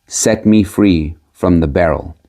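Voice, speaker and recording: A man voicing a sly peasant trickster in a storybook, with a measured, cunning delivery. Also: deep voice, warm tone, voice pushed down. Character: sly male peasant trickster selling prophecies.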